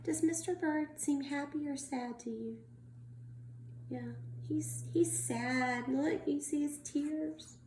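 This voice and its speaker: A woman reading a picture book aloud to young children as a teacher, expressive and drawn-out, pausing to put questions to them. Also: high-pitched, a little hiss or hum. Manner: animated, drawn-out delivery, prompting young listeners. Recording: roomy, echoing indoor sound.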